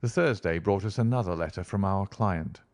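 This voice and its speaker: Guy reading an audiobook, lowish voice, measured formal narration, dry close sound. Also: darkish tone, no room sound. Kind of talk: scripted literary narration.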